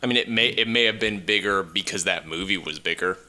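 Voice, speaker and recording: A man's voice: low-pitched, a little fast, sharp tone. A man co-hosting a podcast, explaining his views on films casually with hedged, loose phrasing. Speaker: podcast co-host. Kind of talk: casual film discussion.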